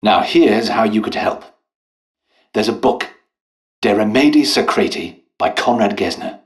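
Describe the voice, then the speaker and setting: An actor playing a polite, eccentric British doctor and scientist in a video game, with refined, hesitant, apologetic and understated speech. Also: male voice, lowish voice, expressive, a little slow. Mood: musing, professorial. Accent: British English. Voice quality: refined, well-spoken. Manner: courteous, hesitant, understated, chatty.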